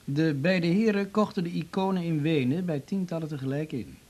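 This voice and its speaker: An actor playing a matter-of-fact police detective who explains things methodically in a radio drama. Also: male voice, on the high side, moderate pace, darkish tone, close to an everyday voice. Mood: official, explanatory. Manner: methodical, official. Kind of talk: Dutch radio drama acting.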